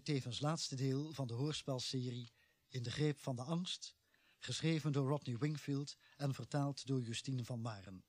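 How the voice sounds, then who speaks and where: A man announces closing credits in a formal, scripted style. He is slow and deliberate, at an average male pitch. The sound is dry and close, with no audible room. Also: a little mellow, no room sound.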